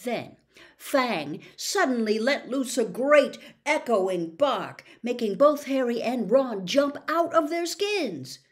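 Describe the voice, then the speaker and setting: A woman narrating a storybook as its reader, with an expressive, suspenseful delivery. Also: on the high side, slow pace. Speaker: audiobook reader.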